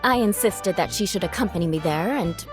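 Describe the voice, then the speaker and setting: A woman's voice: lowish voice, expressive, close to an everyday voice. Woman playing a formal, dutiful knight officer. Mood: earnest, formal. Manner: polite, formal, measured. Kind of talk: video game cutscene acting. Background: background music.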